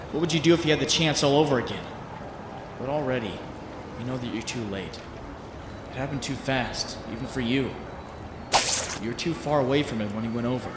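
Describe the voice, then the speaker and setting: A man's voice: medium pitch, natural voice. A man voicing a troubled, sardonic young superhero in a comic dub, recorded in a roomy, noisy space. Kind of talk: comic dub acting with introspective narration.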